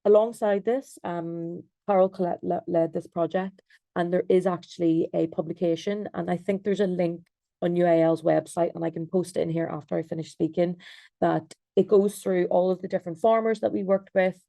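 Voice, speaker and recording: Young Irish woman presenting on a video call; gravelly, mellow, hesitant delivery with lots of fillers. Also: lowish voice, steady tone, moderate pace, no room sound. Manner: hesitant, many fillers and restarts. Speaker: designer and researcher.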